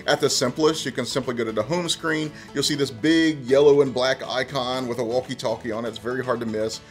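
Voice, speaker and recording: Casual man giving a tech tutorial, music behind. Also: medium pitch. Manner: conversational, explains step by step. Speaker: tech presenter. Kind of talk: casual how-to tutorial narration.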